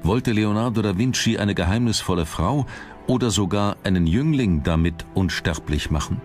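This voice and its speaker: A man with a very deep voice narrates a documentary. His delivery is scripted, measured and built for dramatic storytelling. Music plays clearly behind him. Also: lively intonation.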